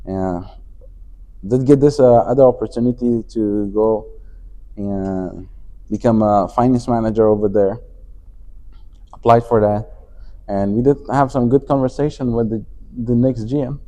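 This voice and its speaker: A man's voice: medium pitch, measured pace, long pauses, dark tone. A man from Afghanistan, a company CEO, talking as a guest in a casual interview, with many fillers and restarts. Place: conference venue.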